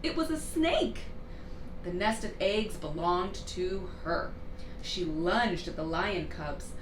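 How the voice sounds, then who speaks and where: A woman narrates a children's story slowly and expressively, leaning on key words for drama. The recording is roomy and distant, with clear background noise. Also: fairly low pitch, animated. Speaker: storybook reader.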